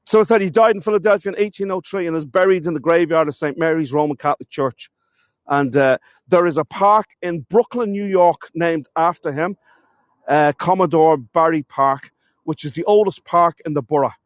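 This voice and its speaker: A man's voice: fairly high pitch, moderate pace, no echo. A man with a cold, sniffling as he gives a chatty live-streamed walking tour outdoors, talking to viewers and calling them folks. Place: outdoors in a town.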